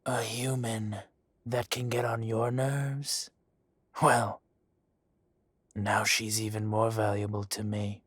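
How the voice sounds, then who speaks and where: A smug dragon crime lord, drawls slowly with a husky, breathy voice. He teases and threatens in the same unhurried tone, often sinking into a low whisper. The sound is close and dry. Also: level tone, fairly bright tone, no echo, full character voice. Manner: slow, smug, teasing, drops to whispers. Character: smug dragon mafia boss, teasing and menacing. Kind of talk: audio roleplay acting.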